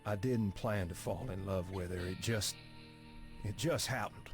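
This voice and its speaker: Gunfighter kid brother, western game: plain-spoken, sincere, lowish voice over music. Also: male voice, unhurried. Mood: confessing. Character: earnest, remorseful younger brother, gunfighter. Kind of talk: video game western cutscene acting.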